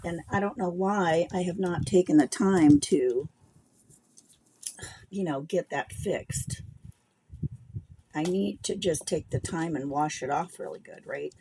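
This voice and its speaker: A middle-aged woman with a gruff voice, chatting and rambling casually as she hosts a craft livestream. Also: fairly low pitch, slow, pauses often, warm tone, slight background noise, low-quality recording. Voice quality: gravelly, gruff voice. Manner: chatty and rambling, thinking aloud while working. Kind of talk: casual craft demo chat.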